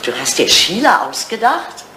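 Elderly English lady, woman actor, radio drama: forthright widow, very lively intonation, playful jabs, old roomy recording with faint noise. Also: mid-range voice, normal speed, close to an everyday voice. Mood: curious, probing. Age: older. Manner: playful, pointed, animated. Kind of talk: German radio play dialogue, retro 1980.

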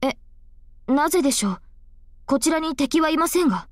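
Earnest, high-voiced young female knight. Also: animated, no echo, studio quality. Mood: earnest, puzzled. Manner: polite formal phrasing, hesitant pauses. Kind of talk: visual novel voice acting.

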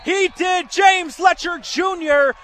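A man doing excitable live radio play-by-play of a football game, building from steady calls to shouts. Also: very high voice, slow. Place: outdoor football stadium. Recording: noisy live outdoor stadium broadcast.

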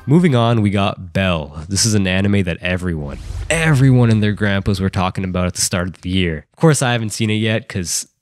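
A man's voice: deep voice, lively intonation, a little fast, dry recording. A man in his twenties, a native French speaker, giving casual, jokey anime commentary full of slangy, self-deprecating asides into a close microphone. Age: 20s. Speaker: anime YouTuber. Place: small indoor room studio. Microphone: close desk microphone. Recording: faint music behind. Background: faint music.

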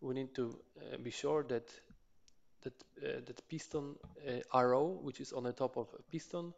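A man in his thirties, a Polish native speaker, explaining an engine rebuild in his workshop, talking haltingly with many fillers and restarts. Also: mid-range voice, steady tone, gravelly, highs cut off. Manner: slow and hesitant, many filler sounds and restarts. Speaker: motorcycle mechanic channel host. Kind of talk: hands-on repair tutorial. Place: cluttered home workshop.

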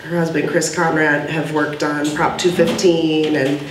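A woman's voice: low-pitched, little pitch movement, unhurried. Woman moderator at a podium, earnest and hesitant, heard from a distance with slight hiss. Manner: earnest, filler-heavy. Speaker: panel moderator. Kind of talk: panel discussion, taking audience questions. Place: gallery event room, indoors. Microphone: podium mic from a far camera. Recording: roomy, distant, faint hiss.

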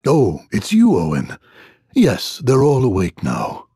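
An actor playing a kindly, fatherly middle-aged innkeeper in a video game, gentle and folksy in manner. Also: medium pitch, wildly swooping pitch, slow pace, slightly rough, dry recording, studio quality, heavily acted.

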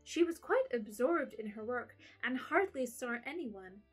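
A woman narrating a classic story in a measured, gentle manner. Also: a little high, animated, slightly rough, faint music behind.

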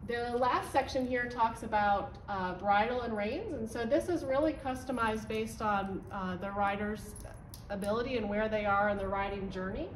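A woman's voice: medium pitch, moderate pace, a little hiss or hum. A woman in her thirties explaining a board to volunteers in a barn office, pointing as she talks, with frequent ums. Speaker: volunteer trainer. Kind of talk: instructional walkthrough. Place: indoor barn office with a wood-paneled wall. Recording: roomy, slightly distant sound with faint ambience.

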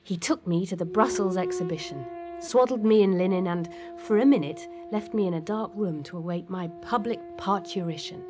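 A woman voicing a living saxophone in a radio drama, speaking in an intense, lyrical, hushed monologue. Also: medium pitch, expressive, normal speed, over music, natural voice. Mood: hushed, fervent. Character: a saxophone given voice, intense, poetic, reverent of its maker.

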